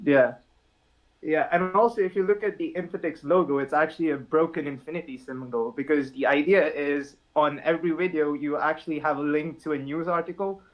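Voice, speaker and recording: Young male podcast guest from India, easygoing and reflective, slightly higher voice, pauses to restart his thoughts. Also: muffled recording. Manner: relaxed, thoughtful, with frequent fillers and restarts. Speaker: podcast guest, app founder. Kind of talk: casual podcast chat.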